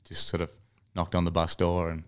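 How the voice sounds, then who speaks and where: A man's voice: deep voice, monotone, fairly quick, a bit bright. A man speaking as an interview guest, a singer-songwriter telling anecdotes in an easygoing, conversational way. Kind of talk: casual interview chat, anecdotes.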